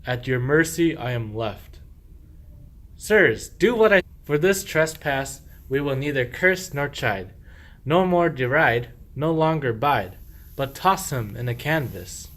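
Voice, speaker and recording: A man's voice: medium pitch, lively intonation, slow pace, fairly bright tone. A man playing a humble, devout medieval shepherd in a radio play, declaiming rhymed verse. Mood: aggrieved.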